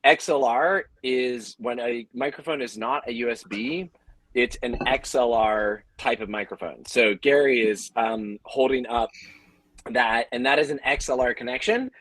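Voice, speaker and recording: A man with a medium-pitched voice, recorded dry with little room sound. He explains things conversationally as a panelist, casual and encouraging in how he puts them. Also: moderate pace, fairly bright tone, no room sound, rough recording. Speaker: podcaster and audio creator, panelist.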